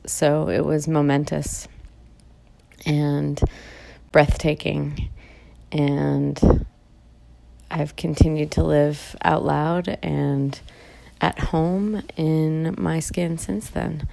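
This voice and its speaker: A woman artist giving a slow, reflective statement about her art and her life. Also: low voice, gravelly, dark tone. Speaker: exhibiting artist.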